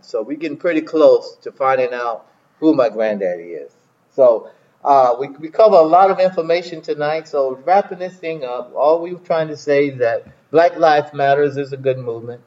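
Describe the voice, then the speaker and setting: A man's voice: mid-range voice, normal speed, a little mellow. Guy in his 50s, rambles and repeats himself lots of uhs, kinda muffled lo-fi audio. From South Carolina. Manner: rambling, repeats words, many fillers. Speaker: minister and host. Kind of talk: casual talk to listeners.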